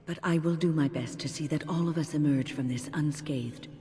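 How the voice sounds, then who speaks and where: Woman as steadfast female mage, low dark voice, gentle, formal, fantasy game, long reverb. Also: moderate pace, close to an everyday voice. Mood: calm, resolute. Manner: soothing, formal. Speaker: game character. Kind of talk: video game voice acting. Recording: long hall-like reverb effect.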